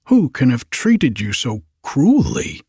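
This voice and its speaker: A man voicing a kind-hearted, pitying prince in a slow, gentle storybook reading. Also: mid-range voice, huge pitch swings, husky, dry recording, clean studio sound, heavily acted.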